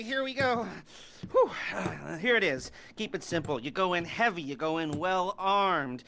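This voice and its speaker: An actor playing a neurotic, heavily medicated middle-aged criminal mastermind in a video game, stammering and rambling in an unsteady voice. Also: male voice, high-pitched, pushed and bright, a little hiss or hum, exaggerated voice. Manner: stammering, halting, trailing off, nervous laughter. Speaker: heist planner. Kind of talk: acted video game cutscene dialogue.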